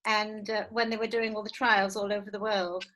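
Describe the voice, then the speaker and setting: A woman's voice: medium pitch, level tone, normal speed, bright, forceful tone, poor audio. An older woman chatting casually on a video call, hesitant and often repeating her words. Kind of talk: casual chat.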